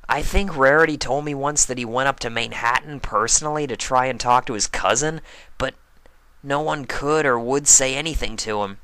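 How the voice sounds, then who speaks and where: A man's voice: medium pitch, moderate pace, sharp tone, smooth, no echo, low-quality recording. A man voicing a brash, confident young female pegasus athlete in a cartoon fan story, talking casually and directly. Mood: frank, concerned.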